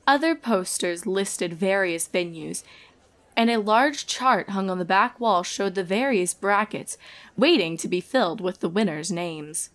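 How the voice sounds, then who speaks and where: A woman's voice: medium pitch, lively intonation, a little slow, a bit bright. A woman reading scripted narration of a comic story as the storyteller.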